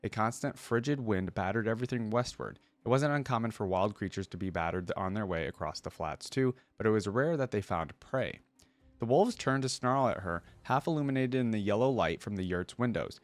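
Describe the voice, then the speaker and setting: A man hosting a reaction video, reading lore aloud and adding casual, rambling commentary as he thinks out loud. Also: lowish voice, expressive, a little fast, no room sound.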